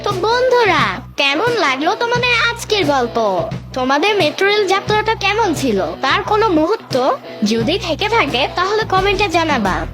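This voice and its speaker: A woman's voice: very high-pitched, very animated, normal speed. A woman voicing a cheeky, confident little cartoon boy with a precocious, colloquial way of talking. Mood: chirpy. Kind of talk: comic cartoon dialogue. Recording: loud music behind the voice.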